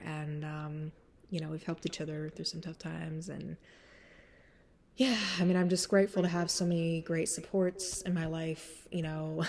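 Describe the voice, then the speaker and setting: A young woman with a stuffy, congested voice, chatting casually and rambling through an introduction before singing a cover song. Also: darkish tone. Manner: rambling, many fillers, swings up high when emphatic. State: stuffy nose from sinus trouble.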